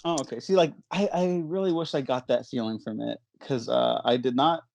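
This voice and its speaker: A man's voice: mid-range voice, fairly quick, vocal fry, a little mellow, dry recording. Man hosting a casual podcast, dry close sound. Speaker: podcast host. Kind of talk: casual chat.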